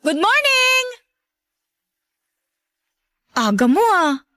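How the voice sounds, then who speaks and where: A woman playing a brisk, chirpy young friend in a Filipino radio drama. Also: very high-pitched, very animated, bright, forceful tone, heavily acted. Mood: cheerful, brisk.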